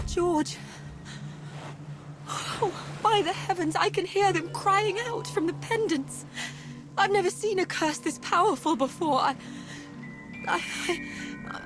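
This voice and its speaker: An anxious young mystic pleads earnestly in a high voice. Worry makes her words tremble. Clear music plays under her. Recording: game cutscene with music.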